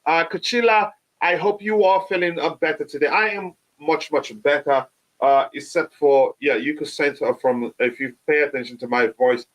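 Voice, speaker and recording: Man, thirties, slightly high voice, live-stream host, hesitant with lots of fillers, recovering from a cold. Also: poor audio. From Nigeria. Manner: halting, many "uh" fillers and restarts. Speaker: fan channel host. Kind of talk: live-stream chat, reading viewer comments.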